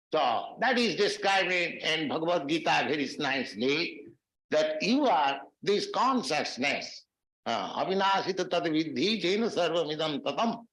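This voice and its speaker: Male spiritual lecturer, raised pitch, deliberate phrasing, echoey archive recording. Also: lively intonation, fairly bright tone. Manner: slow, measured phrasing with Sanskrit verses. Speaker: spiritual teacher giving a lecture.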